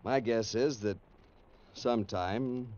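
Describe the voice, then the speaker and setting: An actor playing a coolly pragmatic, pessimistic government official, measured and blunt, in old-time radio drama style. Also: male voice, medium pitch, a little mellow, lightly acted. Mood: coolly resigned. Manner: measured, blunt, matter-of-fact. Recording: dull vintage radio recording.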